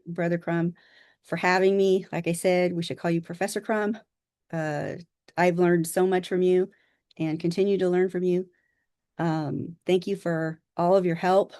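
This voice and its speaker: A woman from Southern California, an author introducing herself on a video call, speaking modestly and haltingly with fillers. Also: on the low side, darkish tone, dry recording, clean studio sound. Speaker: author presenting a workshop.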